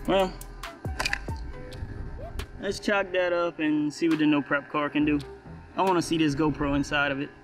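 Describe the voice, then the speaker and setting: Casting: male hobby vlogger, fairly high voice, casual off-the-cuff commentary, music underneath.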